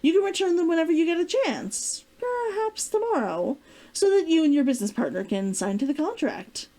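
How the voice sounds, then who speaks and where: A woman reading aloud as a protective, gently teasing man in a storybook, measured and probing. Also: very high voice, big pitch swings, pitched above the performer's own voice, full character voice. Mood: insistent. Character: concerned, teasing adult man, protective old flame. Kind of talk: storybook character dialogue read aloud.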